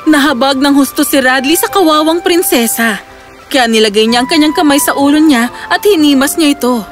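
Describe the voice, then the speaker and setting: A woman with a high voice narrates a children's fairy tale. It is scripted storytelling, with soft music running underneath. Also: a little fast.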